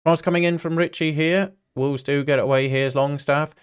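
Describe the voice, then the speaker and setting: A man's voice: fairly high pitch, steady tone, normal speed, smooth. A man from Singapore hosting a casual football watch-along, rambling chattily between viewer chat, anecdotes and play-by-play. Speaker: football livestream host, Newcastle fan.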